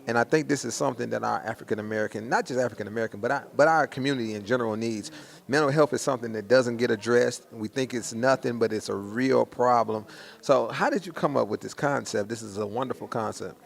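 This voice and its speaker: Male host, mid-range gravelly voice, casual street-slang promo interviews. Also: mid-range voice, quick pace. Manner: hype, informal, slangy.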